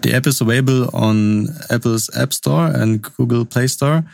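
A young man from Lusatia with a German accent, speaking non-native English as a podcast guest, answering in a halting, casual way. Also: medium pitch, gravelly, no room sound, clean studio sound. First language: Upper Sorbian.

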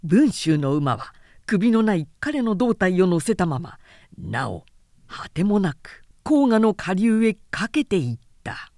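A woman reading a literary story aloud as narrator, in a measured, theatrical storytelling manner. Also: mid-range voice, big pitch swings, mellow, dry recording, studio quality. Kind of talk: dramatic scripted literary narration.

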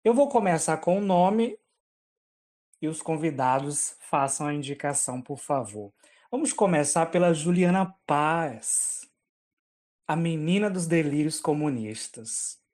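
A podcast host measuredly introducing a quick game segment to the guests. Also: mid-range voice, expressive, darkish tone. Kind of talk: podcast hosting.

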